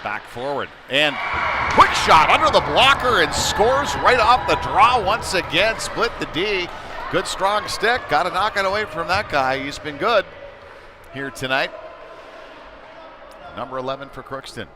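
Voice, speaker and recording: A man giving conversational live play-by-play commentary of a youth hockey game, ready to break into excited calls. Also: sits high, measured pace. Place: indoor ice rink during a game. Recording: clearly noisy sports rink behind voice.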